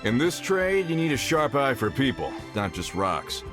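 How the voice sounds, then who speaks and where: A man's voice: mid-range voice, big pitch swings, normal speed, music in the background, close to an everyday voice. A man voicing a hearty, shrewd middle-aged mining foreman in a video game, with a folksy, avuncular manner. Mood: jovial.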